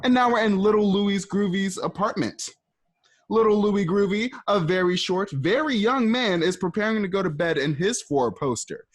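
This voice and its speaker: A man narrating stage directions for a radio play in a lively, playful way. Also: high voice, moderate pace. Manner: lively, playful, asks and answers his own questions.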